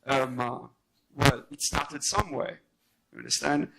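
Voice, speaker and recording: A man speaking as a journalist on a debate panel, halting but emphatic in his unscripted remarks. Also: medium pitch, creaky, crowd noise, poor audio.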